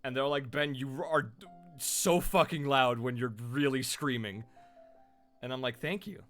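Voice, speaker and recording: Loud, chatty male streamer. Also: medium pitch, unhurried, soft background music. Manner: loud, chatty, rambling with fillers. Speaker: streamer and voice actor. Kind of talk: casual live-stream chat.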